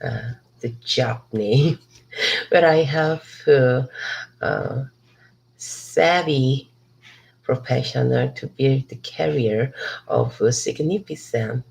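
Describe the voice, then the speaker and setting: Slow, gravelly, low-voiced woman. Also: a little mellow, low-quality recording. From Hong Kong. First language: Cantonese. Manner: halting, restarts and repeats words to correct herself. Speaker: ESL student giving a speech. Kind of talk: rehearsed motivational speech. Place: outdoors in a city park.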